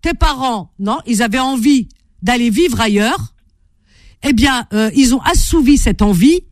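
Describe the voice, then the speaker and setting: A woman's voice: a little high, lively intonation, unhurried, no room sound, studio quality. A woman hosting a call-in radio debate, arguing insistently and cutting in on her caller. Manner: argumentative, interrupting, insistent. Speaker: radio host.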